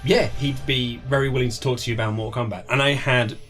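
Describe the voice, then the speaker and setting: A man chats casually as an interviewed author, with faint music behind him. His voice sits at an average pitch. He tells anecdotes in an animated way, acting out reported remarks as he goes. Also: quick pace. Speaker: author being interviewed about their book.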